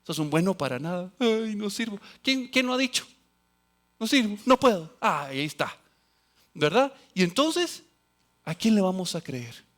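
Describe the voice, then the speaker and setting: Guy preaching in church, pretty high voice, gets loud then drops way down quiet, reads verses and does little voices. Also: big pitch swings, moderate pace. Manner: swings between loud exclamations and quiet, low asides; quotes scripture and acts out voices of others. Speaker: pastor. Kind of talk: sermon.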